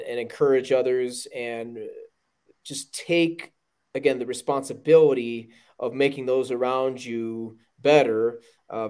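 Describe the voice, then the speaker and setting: A man with a loud voice, talking earnestly and emphatically as a guest in an interview, often restarting his sentences. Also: medium pitch. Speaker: podcast guest, running team director. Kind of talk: conversational interview.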